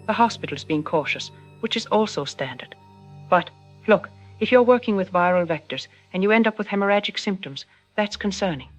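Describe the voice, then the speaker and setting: A woman playing a thoughtful, cautious science expert, measured and candid in an audio drama interview. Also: mid-range voice, natural voice. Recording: music bed under the voice.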